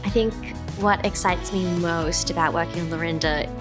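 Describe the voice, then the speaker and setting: An earnest woman gives a personal interview in a low voice. Guitar music plays clearly beneath her words. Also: steady tone, moderate pace. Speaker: actor interviewed.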